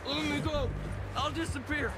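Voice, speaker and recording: An actor playing a timid young captive in a western video game, pleading in panic. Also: male voice, very high-pitched, steady tone, normal speed, very noisy. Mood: terrified, pleading. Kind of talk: video game dialogue acting.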